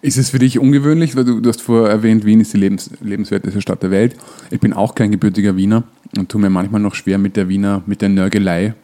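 A man hosting a casual podcast interview, phrasing his questions in a hesitant, unhurried way. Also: lowish voice, level tone, warm tone. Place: living room in a flat.

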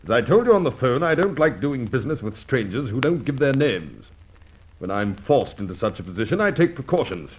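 A man's voice: mid-range voice, big pitch swings, brisk, breathy edge, highs cut off. An actor playing a brusque, suspicious male estate agent in an old radio drama, curt and guarded in his delivery. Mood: guarded, stern. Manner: curt, businesslike, forceful.

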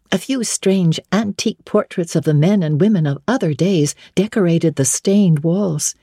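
A woman narrating a classic novel as a dramatic reading, with measured, deliberate and formal phrasing. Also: mid-range voice, mellow, husky, no echo, clean studio sound. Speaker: audiobook narrator.

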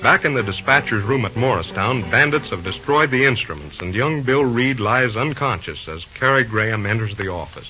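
Old-time radio announcer man over loud music. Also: medium pitch, animated, normal speed, hardly any pauses. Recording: dull old radio recording.

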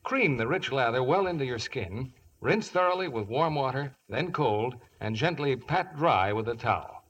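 Husky American male radio announcer. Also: medium pitch, animated, moderate pace, fairly bright tone, dull audio. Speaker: commercial announcer. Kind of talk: scripted 1950s radio advertisement.

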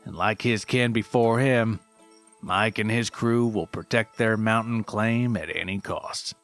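A man reading a scripted documentary-style story in a deadpan, measured, mock-serious manner. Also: fairly low pitch, faint music behind. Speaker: writer and narrator.

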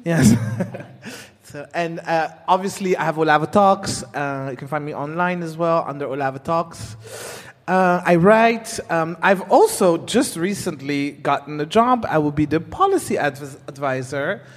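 A man's voice: fairly high pitch, measured pace. A man from Burundi, a panel guest, talking cheerfully and laughing often. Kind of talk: panel discussion. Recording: reverberant hall.

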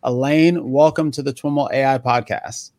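Male podcast host, mid pitch, conversational, dry close sound. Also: fairly even intonation, unhurried, a little mellow, dry recording. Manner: conversational, hesitant questions with restarts. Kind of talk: interview podcast.

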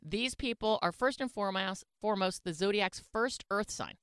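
A woman's voice: medium pitch, expressive, moderate pace, smooth, no echo, clean studio sound. A woman co-hosting a radio show, bantering casually and reading aloud playfully.

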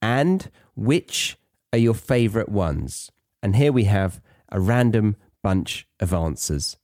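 A man's voice: lowish voice, lively intonation, slow pace, darkish tone, no echo, clean studio sound. A British man presenting an English-learning podcast in a conversational, playful, jokey way as host and teacher.

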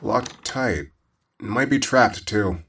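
A man's voice: fairly low pitch, lively intonation, slow, fairly bright tone, slight background noise, exaggerated voice. A man playing an anxious, rule-abiding young adventurer with a fretful manner in an audio drama. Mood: exasperated. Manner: fretful, exasperated.

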